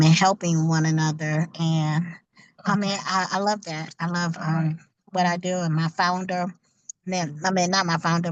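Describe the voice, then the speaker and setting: A woman's voice: sits low, no echo, rough recording. A woman speaking earnestly about her faith-based outreach on a video call, repeating points for emphasis. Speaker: ministry outreach worker, author. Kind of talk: networking pitch.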